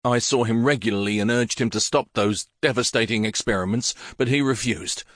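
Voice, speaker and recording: A man's voice: medium pitch, a little fast, a bit bright, no echo, clean studio sound, natural voice. A man voicing an impatient middle-aged lawyer who speaks formally and grows heated easily. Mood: indignant.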